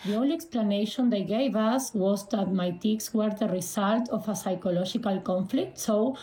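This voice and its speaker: A woman from Argentina with an Argentine Spanish accent gives a personal account as an interview guest, phrasing things carefully and haltingly. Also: medium pitch, mellow. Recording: roomy, echoing sound.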